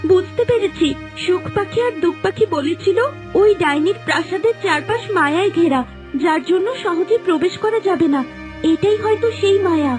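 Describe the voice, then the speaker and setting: Super high voice, woman playing a sweet-on-her-prince young mermaid, earnest cartoon fairy tale, music behind. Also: expressive, normal speed, darkish tone. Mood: resolute. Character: young mermaid in human guise, devoted and pleading. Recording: voice under clear background music.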